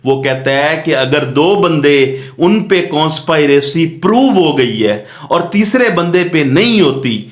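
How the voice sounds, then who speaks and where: A Pakistani man with a fairly high voice gives a law lecture at a slow, deliberate pace. He poses questions and answers them himself, switching into English for legal terms. The room around him rings with echo. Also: expressive. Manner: didactic, asks rhetorical questions and answers them. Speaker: law teacher. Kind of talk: lecture explaining a statute. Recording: echoey room.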